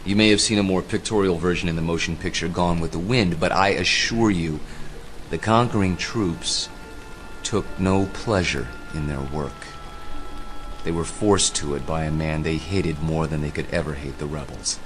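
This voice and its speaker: Very deep-voiced, grave history professor, played by a man. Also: fairly even intonation, faint music behind, lightly acted. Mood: genial, teacherly, then bitter contempt. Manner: measured, theatrical oratory. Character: captivating male history professor, grave, intense, bitter. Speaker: actor in a radio play. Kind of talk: dramatic radio play acting, lecturing.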